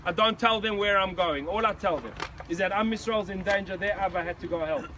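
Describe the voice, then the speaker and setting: A man's voice: high voice, fairly quick. Middle-aged South African man, earnest, emphatic motivational speaker; noisy outdoor recording. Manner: earnest, emphatic, acts out quoted shouting. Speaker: speaker addressing a visiting group. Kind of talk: motivational speech.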